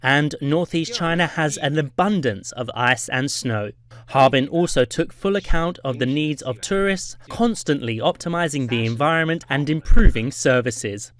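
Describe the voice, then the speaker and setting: A man answering an interview question in a measured, explanatory way. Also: a little high, fairly bright tone.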